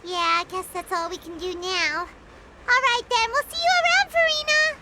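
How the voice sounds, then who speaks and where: A woman's voice: very high-pitched, fairly even intonation, moderate pace. A woman voicing a tiny chatty female fairy companion in a video game, who is eager, pleading and talks about herself in the third person. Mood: pleading, upbeat. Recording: loud background noise.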